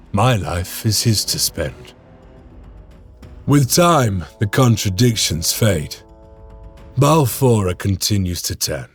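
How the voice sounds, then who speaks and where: An actor playing a stern veteran space marine lieutenant in a game cutscene, grave and solemn. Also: male voice, on the low side. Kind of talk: video game cutscene acting. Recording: game audio over music.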